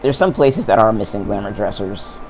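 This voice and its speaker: A man's voice: fairly low pitch, expressive, moderate pace, a little mellow, slight background noise, low-quality recording. A man chatting enthusiastically with a friend while playing a game, laughing a lot. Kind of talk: casual let's-play chat.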